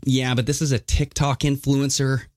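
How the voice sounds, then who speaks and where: A man's voice: mid-range voice, flat delivery, normal speed, a little mellow, no room sound, clean studio sound. A man hosting a podcast, telling stories in loose casual chat, swearing and laughing often. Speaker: podcast host.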